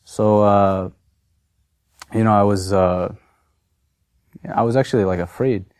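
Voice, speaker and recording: A man in his thirties from Massachusetts, telling his personal story in a halting, unscripted way full of fillers. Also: fairly low pitch, fairly even intonation, a little mellow, clear, resonant tone, dry recording. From Massachusetts, USA. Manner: hesitant, many fillers and restarts. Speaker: convert sharing his story.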